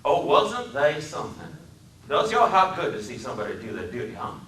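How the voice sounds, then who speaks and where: A man voicing a hateful young hitchhiker with a Deep South drawl, boastful and quick to shout, in a dramatic reading. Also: huge pitch swings, reverberant, recorded from a distance, a little hiss or hum. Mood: smug. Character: hateful young Southern male hitchhiker, bigoted and hot-tempered.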